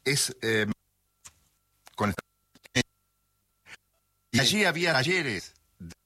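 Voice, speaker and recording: Rioplatense male radio host, bright and animated, slightly husky. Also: mid-range voice, very slow. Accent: Rioplatense Spanish. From Argentina. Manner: warm reminiscing, voseo and che. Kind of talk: casual radio chat.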